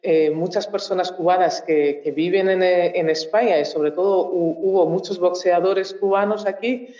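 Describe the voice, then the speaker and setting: A woman from Mozambique whose first language is Portuguese speaks at a conference in a university auditorium. She searches for her words, pausing and restarting often as she thinks aloud. The sound is roomy and echoing, a bit muffled and dull. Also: fairly low pitch, steady tone, mellow. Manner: hesitant, thinks aloud with frequent pauses and restarts. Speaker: researcher and panel speaker. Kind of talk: public talk at a conference.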